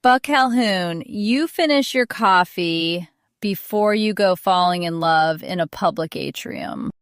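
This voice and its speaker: A woman acting as a long-suffering older wife with a low, smooth voice. She speaks slowly and precisely, dry and unimpressed, in a closely recorded audiobook drama. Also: dry recording. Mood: scolding.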